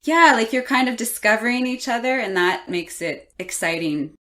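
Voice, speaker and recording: A woman's voice: on the high side, expressive, moderate pace, fairly bright tone. A woman, speaking casually as the co-host of an interview podcast.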